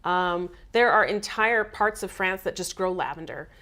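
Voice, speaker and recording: A woman's voice: fairly low pitch, moderate pace, pushed and bright. A woman with a Canadian accent talks as a guest on a casual live stream. She explains her ideas at length in a thoughtful, conversational way. She uses frequent "like" fillers as she talks. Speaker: guest from the game studio.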